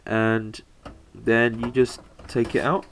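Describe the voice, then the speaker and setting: A young man with a slight cold, narrating a PC hardware install in a halting, casual way with lots of fillers. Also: medium pitch, flat delivery, creaky, a little hiss or hum. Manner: halting, many fillers, talks while working. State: has a cold, slightly bunged up. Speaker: PC hobbyist showing a hardware install.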